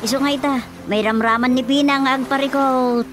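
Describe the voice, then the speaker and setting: A woman's voice: high-pitched, fairly even intonation, fairly quick, a bit bright, soft background music. A woman with an Ilocano accent playing a knowing village gossip in a radio drama comedy. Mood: gossipy.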